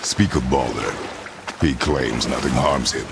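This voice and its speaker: An actor playing a stern, battle-hardened warrior father in a video game, gruff, growling and terse. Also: rumbling low, a little slow, very noisy, heavily acted. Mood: gruff, commanding. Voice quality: gravelly, growling. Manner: terse, commanding. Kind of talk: cinematic video game acting. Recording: music behind the voice.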